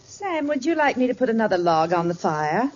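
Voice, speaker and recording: An actress playing a patient, fretful middle-aged housewife, gentle and chatty, in an old-time radio sitcom. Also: female voice, a little high, sing-song, brisk. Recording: dull, noisy vintage radio recording.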